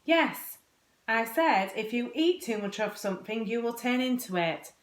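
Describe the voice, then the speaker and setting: A woman puts on an exasperated storybook mother. She calls out and scolds in a raised, sing-song pitch, with noticeable room echo. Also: lively intonation, unhurried. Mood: scolding. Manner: bright calling, scolding. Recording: roomy, echoing indoor recording.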